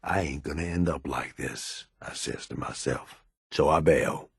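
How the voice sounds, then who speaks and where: A man playing a hard-bitten, cynical American raider lieutenant in a video game, with a gruff, blunt, slangy way of talking. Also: a bass voice, unhurried, fairly bright tone, no room sound, clean studio sound, full character voice. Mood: bitter, reflective. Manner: gruff, plain-spoken, drawling slangy delivery. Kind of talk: video game companion dialogue.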